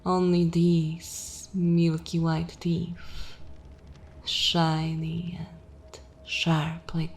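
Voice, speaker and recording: An imperious, seductive vampire mistress acted out by a woman speaks extremely slowly in a lowish register. She is theatrical and coldly mocking, moving between soft command and breathy whisper. It is a dark fantasy ASMR roleplay with faint noise behind the voice. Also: full character voice.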